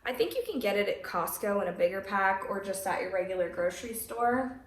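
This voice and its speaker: A woman with a slight cold and a hoarse voice, chatting through a home cooking tutorial step by step in her kitchen. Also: mid-range voice, animated, brisk. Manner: chatty, explains steps plainly. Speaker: cooking channel host. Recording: voice a little far from the mic.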